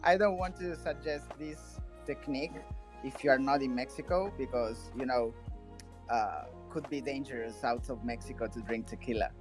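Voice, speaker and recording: Man, Italian native speaker, lively storyteller, faint music underneath. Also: mid-range voice, moderate pace. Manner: animated storyteller, laughs while talking. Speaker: community member. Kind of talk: casual chat.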